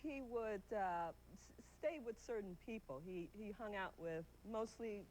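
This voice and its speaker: A woman guest on a talk show, speaking thoughtfully with pauses and fillers. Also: medium pitch, a little mellow. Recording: noisy old TV studio audio.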